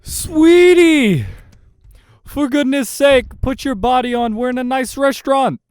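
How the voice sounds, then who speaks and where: A man's voice: very high voice, unhurried, darkish tone, clear, resonant tone, no echo, studio quality, pitched above the performer's own voice. A man voicing a doting, cheerful and fussy immortal boyfriend in a horror game. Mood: exasperated fussing. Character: gushing, lovestruck immortal boyfriend, cheerful and unfazed.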